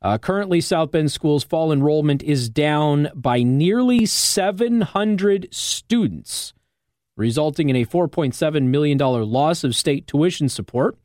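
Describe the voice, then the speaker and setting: A man's voice: a little high, expressive, a little slow, darkish tone, no echo, clean studio sound. An American man speaking as a radio talk show host, explaining things conversationally and often checking that listeners follow. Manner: explanatory, checks in with "okay?" and "all right?". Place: radio studio. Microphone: close studio microphone.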